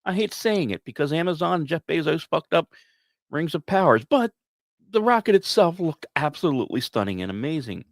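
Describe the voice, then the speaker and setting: A man hosting a podcast, reading scripted narration in a measured, dramatic way with emphatic pauses and casual asides. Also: fairly high pitch, big pitch swings, dry recording, studio quality. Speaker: podcast host.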